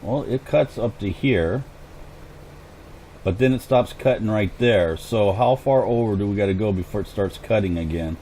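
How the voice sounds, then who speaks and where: A man casually commenting on his own farming game as host, thinking aloud as he plays, his voice hoarse and going. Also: lowish voice, moderate pace, dark tone, slight background noise, rough recording. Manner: chatty, thinks aloud while playing. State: hoarse, clearing throat. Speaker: gaming channel host.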